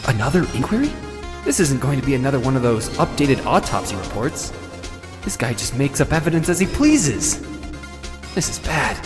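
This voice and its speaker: A man's voice: mid-range voice, very animated, moderate pace, lightly acted. A man acting an earnest, anxious young defence lawyer in a video game drama, emphatic and pleading. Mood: rattled. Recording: music under the voice.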